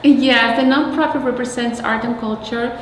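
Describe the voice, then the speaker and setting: A woman's voice: fairly high pitch, steady tone, normal speed, a bit bright. Mexican-accented woman in an echoey room. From Mexico City, Mexico. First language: Spanish. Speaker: interviewee, arts nonprofit representative. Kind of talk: oral history interview, explanatory. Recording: echoey reverberant room, faint noise.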